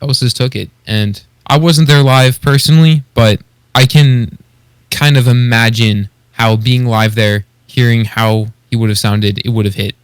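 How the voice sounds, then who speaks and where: A man's voice: medium pitch, steady tone, a little slow, clean tone, dry recording. Man chatting casually in a dry recording. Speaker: podcast co-host. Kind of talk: casual podcast discussion of beatboxing competitors.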